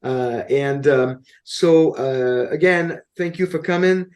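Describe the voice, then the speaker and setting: A man hosting his show, talking in a halting, casual way with many restarts and repeated words. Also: medium pitch, a little mellow. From Dallas area. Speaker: show host. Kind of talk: casual conversation.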